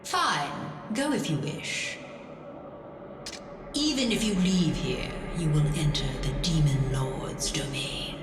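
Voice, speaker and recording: Slow, imperious underworld queen. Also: low voice, animated, full character voice. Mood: cold disdain. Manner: haughty, measured, menacing. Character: cold imperious queen of the land of the dead. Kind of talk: dramatic video game voice acting. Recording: distant echoey voice under loud music.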